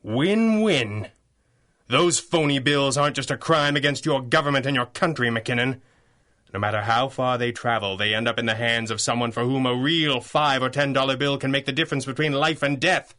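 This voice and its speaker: Guy doing an old-time radio masked hero, sharp clear-cut delivery, stern and drily mocking. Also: medium pitch, moderate pace, lightly acted. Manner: articulate, mocking, righteous. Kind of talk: 1930s pulp radio drama acting.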